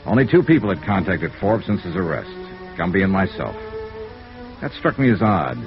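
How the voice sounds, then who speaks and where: An old muffled radio drama recording of a hard-boiled, world-weary private investigator. He has some gravel in his voice and a lively, swinging pitch. His lines are clipped and wry, in classic noir fashion. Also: normal speed. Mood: wry, thoughtful. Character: world-weary, sympathetic freelance insurance investigator, a man. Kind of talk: 1950s radio noir acting, first-person narration. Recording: muffled vintage radio recording, faint music.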